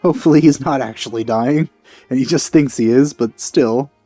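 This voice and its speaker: A man's voice: medium pitch, normal speed, dark tone, faint music behind. A man giving casual, musing let's play commentary on a video game, with empathy. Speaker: let's player.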